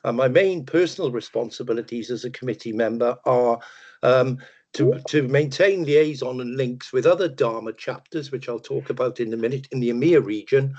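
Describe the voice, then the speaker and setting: An older man with a Welsh accent gives a relaxed webinar talk, joking at his own expense and filling pauses with ums and uhs. His voice sits at a middling pitch. The video call audio is a bit muffled. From Wales. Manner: relaxed, chatty, self-deprecating humour with many ums and uhs. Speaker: webinar presenter. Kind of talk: presentation over a video call. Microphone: video call microphone.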